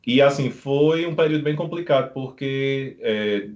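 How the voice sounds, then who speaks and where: Middle-aged Brazilian man, guest in an interview, unhurried talker, dull video-call sound. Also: medium pitch, a little mellow. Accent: Brazilian Portuguese. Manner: unhurried, rambling anecdotes. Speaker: guest, beekeeper living abroad. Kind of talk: casual interview storytelling.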